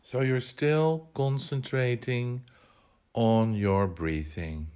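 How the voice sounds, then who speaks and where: A man leading a guided meditation, giving measured instructions in short phrases with pauses between them. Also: medium pitch, a little mellow, clear, resonant tone.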